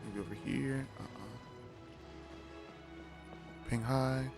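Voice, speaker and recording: A man's voice: medium pitch, fairly even intonation, slow pace, vocal fry, over music. A man doing casual, reactive gameplay commentary as a streamer, joking and slangy. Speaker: game streamer.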